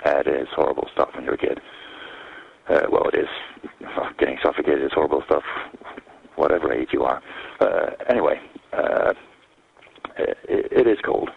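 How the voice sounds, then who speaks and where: A man with a cold leaves a rambling voicemail from outdoors at night. His voice is very deep, gravelly and husky, and he jokes at his own expense. The sound is dull and muffled, as from a phone. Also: expressive, dry recording. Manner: rambling and self-mocking, with many pauses. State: hoarse with a cold. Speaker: listener calling in a voicemail.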